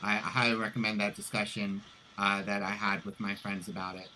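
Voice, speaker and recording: A man's voice: lowish voice, flat delivery, fairly quick, bright, forceful tone, slight background noise, poor audio. A man who hosts an online show, chatting casually on a livestream. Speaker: actor and online show host.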